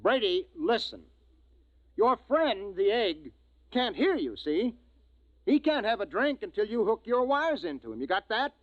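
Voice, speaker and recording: Terse space commander on tinny radio. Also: male voice, sits high, sing-song, a little slow, dry recording. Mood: urgent. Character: resolute, urgent male commander of a space expedition. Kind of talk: 1950s radio drama acting, with narration. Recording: tinny, narrow vintage radio recording.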